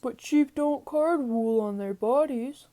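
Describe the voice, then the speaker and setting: A woman's voice: high voice, slow pace, warm tone. A woman voicing a stern, bewildered father sheep in a storybook, emphatic and questioning. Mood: bewildered, disapproving. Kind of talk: storybook character voice.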